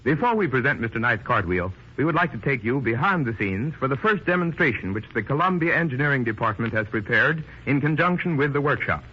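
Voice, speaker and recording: A man's voice: medium pitch, lively intonation, a little fast, hardly any pauses, breathy edge, lo-fi sound. A man presenting on 1930s radio as announcer and host, with a formal showman's delivery, on an old band-limited recording.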